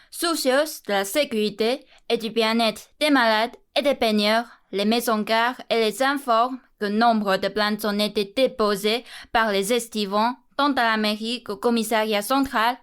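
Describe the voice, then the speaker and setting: A formal town crier played by a young woman with a smooth, crisp, fairly high voice. The delivery is declaimed and official, as in a radio play. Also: measured pace, a bit bright. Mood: solemn, official. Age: young adult.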